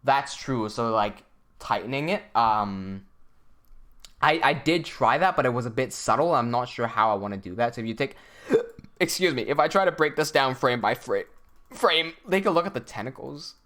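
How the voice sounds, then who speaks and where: Young man, mid-pitched, from Hong Kong, casual livestream host who thinks aloud and restarts his sentences. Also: lively intonation, moderate pace, fairly bright tone. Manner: thinking aloud, reads chat messages, many restarts. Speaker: animator hosting a livestream.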